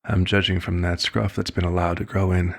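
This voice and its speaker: A man playing a courteous elder vampire doctor in an ASMR roleplay, speaking formally and with measured, concerned authority, in a gravelly, husky voice. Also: sits low, level tone. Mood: gently insistent. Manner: formal, measured, polite wording. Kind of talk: ASMR roleplay, scripted one-sided dialogue.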